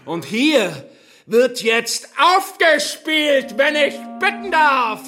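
An actor playing a zealous, menacing Nazi recruiter in a German radio drama, declaiming commands with swagger. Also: male voice, very high-pitched, sing-song, very slow, sharp tone, full character voice. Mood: bombastic, commanding.

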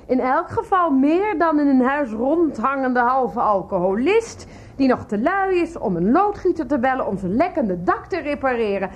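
An actress playing a sarcastic, cutting middle-aged wife in a radio play, on a slightly dull recording. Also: female voice, high voice, very animated, moderate pace. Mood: sarcastic scorn.

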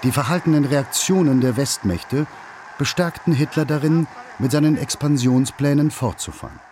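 A man's voice: a little high, normal speed, a little mellow, some chatter behind, slight background noise. A man reading a scripted historical documentary as its narrator.

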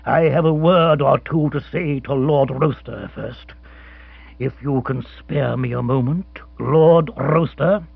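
Man doing stern rugged captain, slow and grave, husky, dry dull recording. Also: mid-range voice, dry recording, full character voice. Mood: grim. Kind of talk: audiobook character voice.

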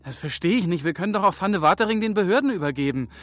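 Naive militant idealist, high pitch, rapid, protesting, bewildered, radio drama. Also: male voice, animated. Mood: agitated, appalled. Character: naive, idealistic, agitated member of a militant group.

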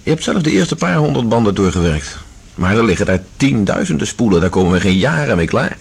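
An actor playing an earnest, methodical scientist-explorer in a Dutch science fiction radio drama, speaking in a measured, matter-of-fact way. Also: male voice, fairly low pitch, very animated, vocal fry, breathy edge, full character voice.

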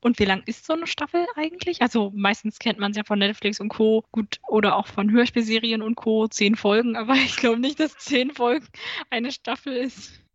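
A woman's voice: on the high side, normal speed, dry recording. A woman asking casual, conversational questions as an interviewer. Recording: muffled lo-fi audio.

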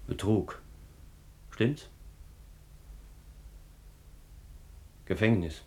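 An earnest young policeman in his twenties in a German radio drama. His voice is fairly low, his manner polite, naive and a little hesitant. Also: expressive, takes it slowly. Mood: hurt, reproachful. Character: deferential 24-year-old policeman, naive earnest fiancé. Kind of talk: German radio play acting.

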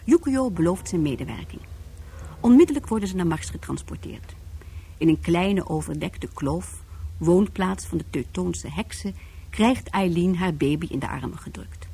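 Husky, mellow woman narrator. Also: lowish voice, animated, moderate pace. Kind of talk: scripted science fiction radio drama narration.

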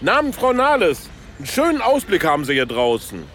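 A man's voice: sits high, huge pitch swings, a little fast, a bit bright, a little hiss or hum, exaggerated voice. A man playing a blunt, scheming older political candidate in a satirical radio play, talking in a brusque colloquial way. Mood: breezy, bargaining. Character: blunt, scheming ageing male would-be chancellor candidate.

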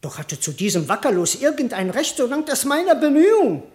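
A man's voice: very high voice, very animated, brisk, a little mellow. A proud tomcat addresses the court in a radio play. He sounds indignant and formal, with a sly streak. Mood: sly confession. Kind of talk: theatrical verse acting in radio play.

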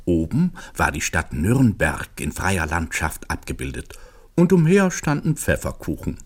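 An actor as the narrator of a satirical radio play, reading literary prose as a storyteller. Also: male voice, deep voice, big pitch swings, unhurried, heavily acted. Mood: wry, storytelling. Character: wry male literary narrator of a satirical tale.

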